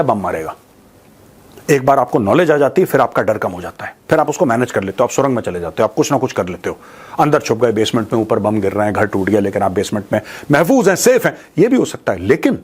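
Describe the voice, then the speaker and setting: A man's voice: mid-range voice, darkish tone, slightly rough. An Indian man hosting informal news commentary, speaking in a blunt, colloquial, mocking way and switching into Indian-accented English. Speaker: commentator and show host.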